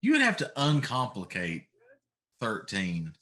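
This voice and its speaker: Low-voiced middle-aged man, casual talk. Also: wildly swooping pitch, a little slow, bright, forceful tone. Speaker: talk show host. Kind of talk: casual opinionated chat.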